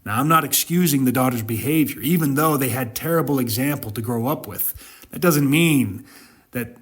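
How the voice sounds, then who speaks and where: A man delivering dramatic scripture reading and interpretive commentary, with emphatic storytelling and deliberate pauses. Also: medium pitch, fairly bright tone, slightly rough. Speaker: Bible commentator and narrator.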